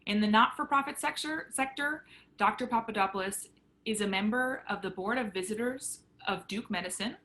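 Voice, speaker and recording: A woman moderating an event, reading a guest's introduction and briskly explaining how the audience can send questions. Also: mid-range voice, sharp tone, a little hiss or hum. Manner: reads a formal introduction, then gives brisk instructions.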